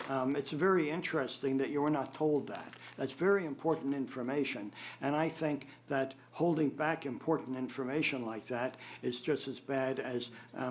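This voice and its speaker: An older man with a mid-range voice testifies at a formal hearing, speaking into a table microphone in a meeting room. His delivery is halting and hesitant, broken by long pauses as he sets out his rebuttal point by point. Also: medium pitch, a little hiss or hum. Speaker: appellant testifying at a public hearing. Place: government board meeting room, indoors. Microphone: gooseneck table microphone.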